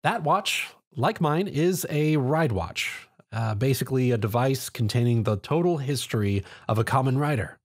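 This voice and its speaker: A man's voice: mid-range voice, animated, moderate pace, few pauses. A man voicing an earnest, slightly awkward young male hero in a story. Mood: eager.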